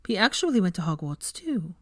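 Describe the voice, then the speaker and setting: Kindly young female teacher read by a woman: measured, self-effacing, a little nervous, for audiobook dialogue in a dry room. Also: lowish voice, very animated, no room sound. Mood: pleasant. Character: kind, slightly nervous young woman professor. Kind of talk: audiobook character voice.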